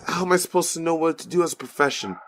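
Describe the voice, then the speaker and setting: An actor playing a sarcastic, easily flustered fifteen-year-old schoolboy who is secretly a hero, in an audio drama. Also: male voice, a little high, very fast, slight background noise, close to an everyday voice. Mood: musing. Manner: sarcastic, flustered, rambling excuses. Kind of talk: audio drama acting with inner monologue.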